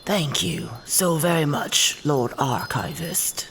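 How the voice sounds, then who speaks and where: An actress playing a courteous, deferential petitioner in a fantasy audio drama. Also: female voice, sits low, expressive, takes it slowly, fairly bright tone, slight background noise, heavily acted. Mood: polite, grateful. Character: courteous, deferential female donor petitioning a lord.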